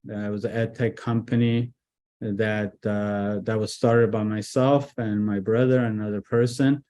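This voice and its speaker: A man originally from Iran, speaking as a business guest in a casual interview, relaxed with frequent fillers and restarts. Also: lowish voice, moderate pace. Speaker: guest, IT executive director.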